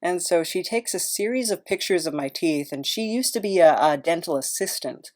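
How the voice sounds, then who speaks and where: A woman's voice: fairly low pitch, expressive, normal speed, a little mellow. A woman podcast host chatting in a casual, rambling, self-deprecating way.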